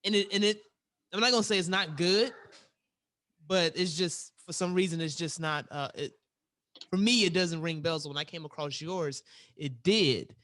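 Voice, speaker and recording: Hesitant podcast host, higher voice. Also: male voice, normal speed, sharp tone, dry recording. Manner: hesitant, restarts often with many "um"s and "you know"s. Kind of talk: casual podcast interview.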